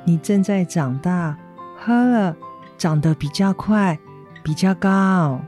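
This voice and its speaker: A woman with a Taiwanese accent playing a gentle, apologetic mother recovering from surgery, speaking tenderly in an educational radio drama. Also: lowish voice, slow, faint music behind. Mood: caring, insistent. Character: gentle, apologetic convalescing mother of a teenage boy. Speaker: amateur voice actor, schoolteacher.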